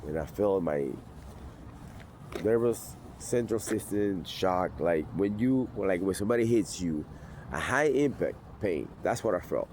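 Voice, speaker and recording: A very deep-voiced man, blunt and intense. He talks outdoors in a city, with faint noise behind him. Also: expressive, unhurried, darkish tone. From Venezuela. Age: 40s. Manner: blunt, intense, street-tough talk. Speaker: sobriety vlogger. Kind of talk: personal storytelling.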